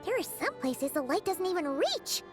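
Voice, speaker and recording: Squeaky, very high cartoon girl. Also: sing-song, moderate pace. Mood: cheerful, matter-of-fact. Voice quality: squeaky, childlike. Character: small excitable girl, chirpy cartoon companion and child inventor. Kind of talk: video game cutscene voice acting. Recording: music behind the dialogue.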